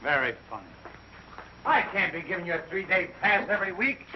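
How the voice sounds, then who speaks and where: An actor playing an irritable, wisecracking army first sergeant, impatient and sarcastic, in a mid-century film comedy. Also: male voice, high-pitched, sing-song, brisk. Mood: bossy impatience. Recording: dull old film soundtrack, faint noise.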